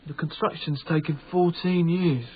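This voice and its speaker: A man's voice: a little high, fairly even intonation, normal speed, breathy edge. An actor playing a frightened, pious Victorian night watchman who speaks formally, deferentially and hesitantly in a radio drama. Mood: respectful, hesitant. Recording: tinny band-limited radio broadcast, noisy background.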